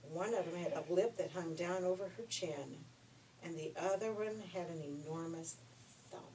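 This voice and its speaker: A woman's voice: fairly low pitch, moderate pace, mellow. Folksy woman storyteller, distant noisy recording. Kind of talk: animated children's story reading.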